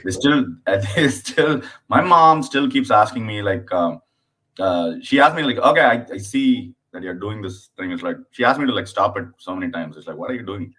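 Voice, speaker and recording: A man from southern India in his thirties, talking as a comedian guest on a casual podcast. His voice sits very low, and he speaks haltingly, circling back with fillers and restarts as he tells stories. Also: expressive, bright, forceful tone, poor audio. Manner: acts out his mother's voice in anecdotes.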